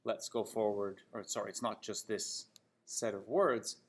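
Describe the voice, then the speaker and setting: A man's voice: fairly low pitch, steady tone, unhurried. A man from Toronto with a Canadian accent, giving an English lesson in an emphatic, didactic manner with frequent check-in questions. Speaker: English teacher. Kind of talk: spoken English lesson critiquing example sentences.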